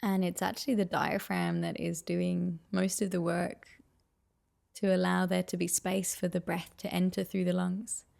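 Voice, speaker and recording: Gravelly, mellow woman guiding meditation. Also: lowish voice, little pitch movement. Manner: soothing, unhurried, gently encouraging. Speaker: meditation guide. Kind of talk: guided meditation, soft instruction.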